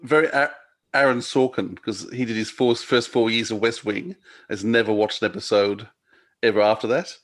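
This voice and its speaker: A man's voice: fairly low pitch, fairly even intonation, moderate pace. A man interviewing a guest in a casual, conversational style. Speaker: podcast interviewer.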